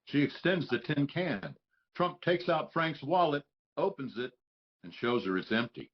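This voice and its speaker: A man's voice: on the high side, lively intonation, a little slow. Man reading stage directions, slightly muffled. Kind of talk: scripted narration.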